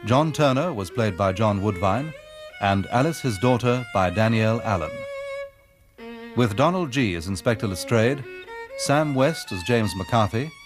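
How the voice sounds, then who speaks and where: A man makes a scripted broadcast announcement. His voice is on the low side, and violin music plays clearly behind him. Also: expressive, measured pace. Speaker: credits announcer.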